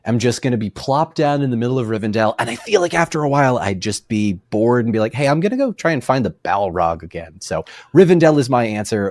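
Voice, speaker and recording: A man's voice: on the low side, darkish tone, dry recording, studio quality. A man chatting casually as a podcast guest, informal and self-deprecating. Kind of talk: casual chat.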